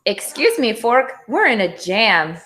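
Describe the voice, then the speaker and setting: A woman's voice: fairly high pitch, sing-song, a little slow, fairly bright tone. Woman as a dramatic, swooping, bossy storybook cat. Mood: fretful. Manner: insistent, dramatic, urgent.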